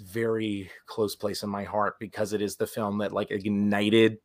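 A man co-hosting a podcast, still raspy from illness, chatting animatedly with many restarts. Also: lowish voice, level tone, moderate pace. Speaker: podcast co-host. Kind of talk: casual chat.

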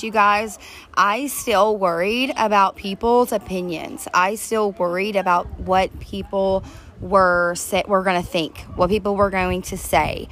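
A woman with a deep voice, co-hosting a faith-based recovery podcast, talking earnestly and heartfelt to listeners, recorded outdoors on a back deck. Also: a bit bright. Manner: earnest, heartfelt, addresses listeners as "you guys". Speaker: podcast co-host.

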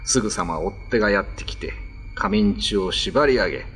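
A man's voice: lowish voice, animated, brisk, a bit bright, soft background music. A man narrating a classical ghost story as scripted radio drama storytelling.

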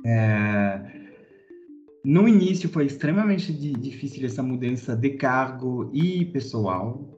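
Man, French accent, thirties, hesitant podcast guest, muffled sound, soft music behind. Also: medium pitch, animated, slow pace. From France. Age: 30s. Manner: hesitant, many fillers and restarts, searching for words. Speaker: guest, corporate director. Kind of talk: podcast interview, telling his career story.

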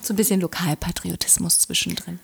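A young woman from Berlin with a Berlin accent, hosting a podcast interview in a gentle, soft-spoken way that often sinks to a near-whisper. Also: fairly low pitch, level tone, a little fast, vocal fry, slightly rough, dry recording. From Germany. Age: young adult. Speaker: podcast host. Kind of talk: conversational interview.

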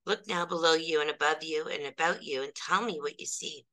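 A woman voicing a calm, wise male spiritual teacher who speaks gently and patiently. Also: sits low, a little fast, rough recording. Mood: reassuring.

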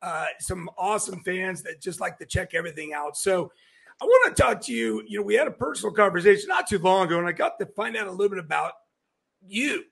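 An American man with a notably high voice and a strong creak in it hosts an interview. He talks casually, like a show host chatting with a guest. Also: fast, fairly bright tone.

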